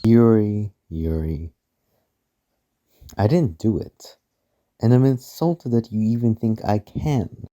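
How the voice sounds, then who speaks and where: Guy doing a snobby rich villain, dark mellow voice, drawls and sneers, radio play. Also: lowish voice. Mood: defensive. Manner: haughty, drawn-out, sneering delivery. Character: smug, arrogant rich mansion owner, menacing and condescending man. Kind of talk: amateur radio play acting.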